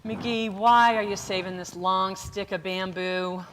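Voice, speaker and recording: A woman's voice: mid-range voice, steady tone, unhurried, fairly bright tone, natural voice. A woman playing a sensible, exasperated, long-suffering wife in an old-time radio comedy, scolding with dry patience. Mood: weary. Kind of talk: 1940s radio comedy acting. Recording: roomy hall reverb, noisy background.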